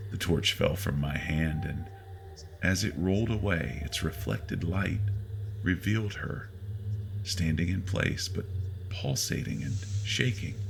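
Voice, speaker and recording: Very deep gravelly male horror narrator. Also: slight background noise. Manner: deliberate, suspenseful delivery with dramatic pauses. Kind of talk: dramatic first-person horror story narration.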